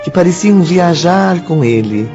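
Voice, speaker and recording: An actor as a grave, compassionate storyteller, narrating a radio drama in formal, expressive diction. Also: male voice, on the high side, unhurried. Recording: dull studio track with music behind.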